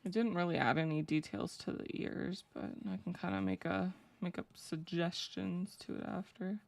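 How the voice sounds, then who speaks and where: A young woman with a low voice chatting casually and rambling with lots of fillers while she draws on a live stream. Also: animated, moderate pace, gravelly. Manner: rambling, filler-heavy, laughs easily. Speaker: streamer drawing fanart.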